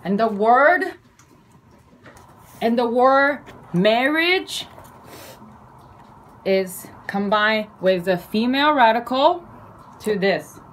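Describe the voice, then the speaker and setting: Slow, halting woman, Chinese native. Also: on the high side, expressive, a bit bright, rough recording. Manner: slow, halting, playful, with fillers and self-corrections. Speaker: video creator. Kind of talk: casual explainer lesson.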